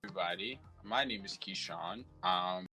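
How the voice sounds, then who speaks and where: A young man from Brooklyn introduces himself offhandedly on a video call. His low voice has a bright, forward edge, with faint music underneath. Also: normal speed, rough recording. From Brooklyn, New York. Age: 20s. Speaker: guest. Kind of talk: casual self-introduction.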